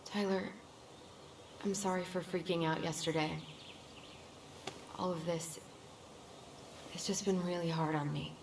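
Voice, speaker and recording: A woman's voice: fairly low pitch, steady tone, unhurried, pauses often, close to an everyday voice. A woman playing a caring, earnest young twin sister in a video game, with an American accent and naturalistic delivery. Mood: tender, apologetic. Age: young adult. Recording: game audio with clear background noise.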